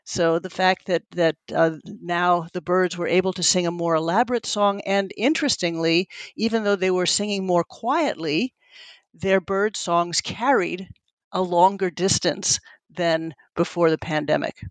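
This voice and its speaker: A woman's voice: fairly low pitch, fairly even intonation, a little slow, no echo. A middle-aged woman whose first language is Italian, a neuroscientist answering interview questions in an earnest, thoughtful way, often searching for words. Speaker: neuroscientist, lab head. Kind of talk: interview guest, conversational.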